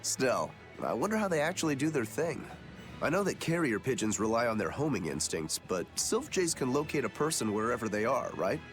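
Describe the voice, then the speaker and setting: A man's voice: mid-range voice, sing-song, a little fast, faint music behind, lightly acted. A man playing an easygoing, joking adventurer in an anime-style video game, breezy, casual and teasing.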